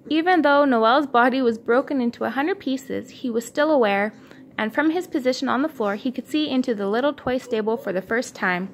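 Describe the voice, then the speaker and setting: A woman's voice: high-pitched, brisk. A woman reading a children's story aloud as a parent would to a child, in a homemade recording. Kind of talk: read-aloud children's storytelling with casual asides. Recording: clear background noise, homemade recording.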